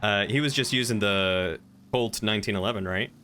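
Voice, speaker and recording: Streamer guy, low pitch but bright forward voice, chatting casually over a game. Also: a little fast. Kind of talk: casual gaming stream chat.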